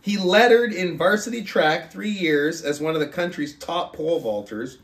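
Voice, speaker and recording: A man with a voice a bit higher than most hosts a book club podcast. He speaks in a deliberate way, leaving pauses and stretching words for emphasis. The recording is noticeably echoey, with the room clearly audible around his voice. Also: a bit bright. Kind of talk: casual podcast talk and reading a book aloud. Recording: roomy, echoing sound.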